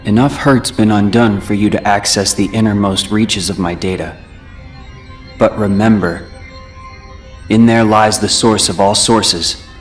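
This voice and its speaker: A calm, wry young man from a video game, played by a male actor, speaking in a somewhat low voice. He talks in a measured, dry and serious way. Soft game music sits behind him. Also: close to an everyday voice. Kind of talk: video game cutscene acting. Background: faint game music.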